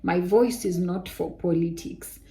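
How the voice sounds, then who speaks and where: A young woman from Kenya with a soft voice, telling viewers a personal story in a casual, animated way. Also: fairly low pitch, measured pace. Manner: animated testimony with Kenyan interjections like "eh" and "kai".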